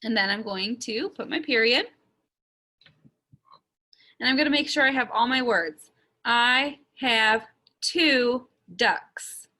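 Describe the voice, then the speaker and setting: A young woman teaches children to write sentences, speaking very slowly and carefully as she sounds out each letter. Her voice is bright and a little high. She records it on a webcam in a small room at home. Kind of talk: slow, step-by-step writing lesson for young children.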